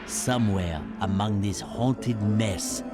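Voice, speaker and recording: Very slow, ominous narrator. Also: male voice, on the low side. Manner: grave, suspenseful. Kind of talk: scripted game narration. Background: loud music.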